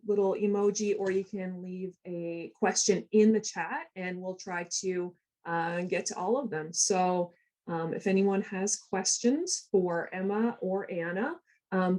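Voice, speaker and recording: A woman's voice: fairly low pitch, slow, a little mellow, poor audio. A woman moderating an online panel, upbeat and encouraging with the guests. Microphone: video call.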